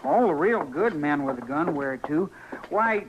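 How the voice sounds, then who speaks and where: A man's voice: a little high, expressive, measured pace, dull audio. An actor playing a cocky, stubborn frontier boy in an old-time radio western, boastful and with folksy rural speech. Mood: defiant. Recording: noisy vintage radio drama recording.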